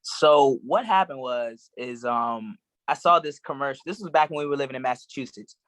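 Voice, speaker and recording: A young man from Maryland answering interview questions in a relaxed, rambling way with plenty of fillers. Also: mid-range voice, moderate pace, no echo. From Maryland, USA. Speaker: guest actor.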